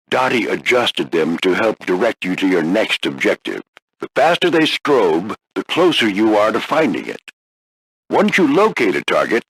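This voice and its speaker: An older American man voicing a gruff veteran warplane flight instructor in a video game, husky and folksy, coaching firmly but with encouragement. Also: medium pitch, a bit bright, full character voice, poor audio. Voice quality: gravelly, husky. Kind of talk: scripted video game character lines.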